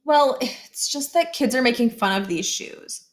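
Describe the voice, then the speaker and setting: A woman's voice: on the high side, animated, a little slow, voice pushed up. Woman voicing a whiny, pleading young schoolboy. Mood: reluctant, evasive. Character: whiny young schoolboy wheedling his mother.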